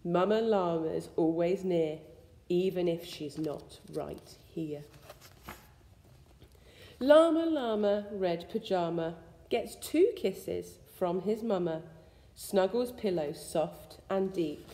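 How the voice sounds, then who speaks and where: A woman reads a rhyming children's picture book aloud in an expressive, sing-song storytelling way. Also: lowish voice, slow pace. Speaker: school staff member reading a bedtime story. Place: school room with suspended ceiling, indoors. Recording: echoey indoor room with long reverb.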